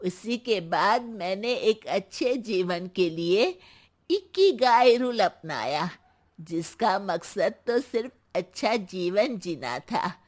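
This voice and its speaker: A woman playing a proud, sharp-tongued, active elderly grandmother in a cartoon story, with a taunting, deliberate delivery. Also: medium pitch, animated. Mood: calm, wise. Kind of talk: Hindi cartoon story character acting.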